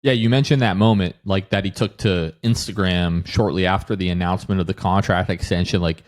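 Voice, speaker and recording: American male podcast host, low voice, dry close sound, casual sports chat. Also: steady tone, a little fast, no echo.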